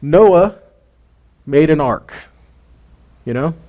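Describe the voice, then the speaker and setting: A man's voice: a little high, expressive, very slow, dull audio. Chatty man teaching scripture. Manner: conversational, asks the audience questions, chuckles between points. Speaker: teacher of a weekly Torah study. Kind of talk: informal Bible teaching to a live group.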